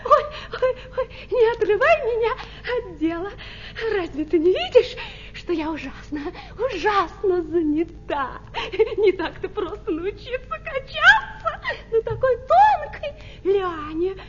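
A woman's voice: extremely high pitch, big pitch swings, unhurried, big-room sound, dull audio, noisy background, heavily acted. A woman voicing a busy, vain little female monkey, fussy and exclaiming, in a children's audio play. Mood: fussy, giggly.

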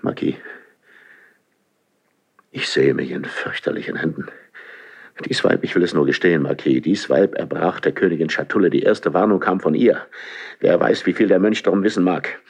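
An actor playing a suspicious, brooding older king in a classical radio drama, speaking in a grave, imperious, halting way with a gravelly voice. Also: deep voice, darkish tone, heavily acted. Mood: agitated, suspicious. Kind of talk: classical radio stage acting.

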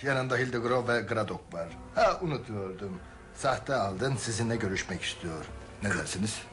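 A man acting a sober official in a radio drama, with a lowish voice over prominent music. He is courteous and matter-of-fact, reporting with gravity. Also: animated, moderate pace, close to an everyday voice. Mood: businesslike. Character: sober male official bringing grim news. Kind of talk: Turkish radio theatre acting.

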